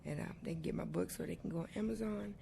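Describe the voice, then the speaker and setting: A woman's voice: lowish voice, fast talker, gravelly, heavy background noise. A woman guest on a talk show, answering in a sermon-like way, repeating words and quoting scripture. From Arizona, US. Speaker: guest prophetess and author. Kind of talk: preaching-style interview answers.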